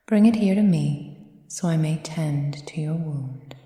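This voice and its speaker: A woman playing a possessive, condescending vampire mistress in an intimate ASMR roleplay, speaking formally and measuredly and often slipping into breathy whispers. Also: sits low, unhurried, warm tone. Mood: stern tending. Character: possessive, condescending female vampire mistress tending her captive thrall. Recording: echoey room with long reverb.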